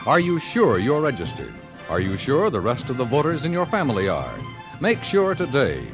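An American man announces in the manner of 1950s network radio. His mid-pitched voice swings dramatically through scripted copy, on a vintage broadcast with prominent music. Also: huge pitch swings, moderate pace.

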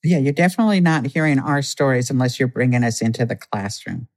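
An earnest man is an interview guest who lays out his points in a steady, explanatory way. His voice sits at a middling pitch for a man, and he speaks calmly and with conviction. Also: fast talker. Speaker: guest, Native community organizer. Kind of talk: conversational interview.

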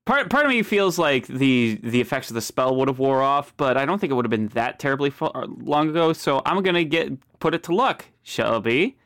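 Man game master, unhurried narration with fillers, dry close sound. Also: medium pitch, no room sound. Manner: relaxed, hesitant with many fillers.

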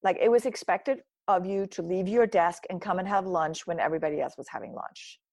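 Earnest woman from Denmark, lowish voice. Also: brisk, a little mellow, no echo, studio quality. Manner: earnest, reflective, frequent restarts and "right?" tags. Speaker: guest, nutrition author and speaker. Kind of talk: conversational interview answers.

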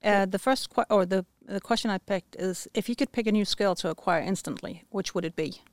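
A woman's voice: medium pitch, brisk, no room sound. A woman who heads a company department, talking as a podcast guest in a casual, thoughtful way with frequent restarts.

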